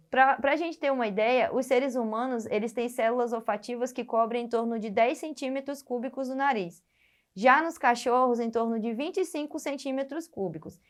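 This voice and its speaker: A Brazilian woman with a fairly high voice teaches a biology lesson. She explains step by step, often pausing on fillers and restarting her phrases. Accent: Brazilian Portuguese. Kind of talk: recorded classroom lesson.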